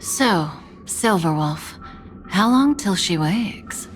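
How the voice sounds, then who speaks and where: Low, slow, enigmatic game heroine. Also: female voice, animated, faint music behind, rough recording. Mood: calm. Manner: measured, solemn, hushed at times. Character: composed, enigmatic adult woman, tender and commanding. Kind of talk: video game voice acting.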